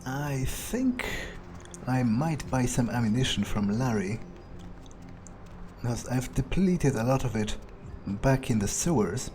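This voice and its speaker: A man's voice: mid-range voice, expressive, takes it slowly, background noise. A man giving in-character commentary on a video game, thinking aloud in a cocksure, sweary way. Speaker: gamer playing in character. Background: faint game music.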